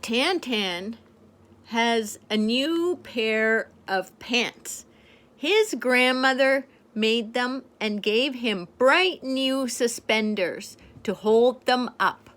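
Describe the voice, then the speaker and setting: An older woman reading a picture book aloud to a child in a gentle, playful way, pointing out the pictures as she goes. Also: on the high side, extremely slow. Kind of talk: storybook read-aloud to a child.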